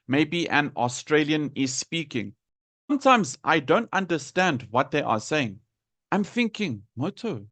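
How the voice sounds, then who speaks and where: A South African man teaching English in a live lesson, deliberately repeating and enunciating words for learners. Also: fairly high pitch, fairly bright tone, no room sound. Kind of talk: live lesson explaining pronunciation to students.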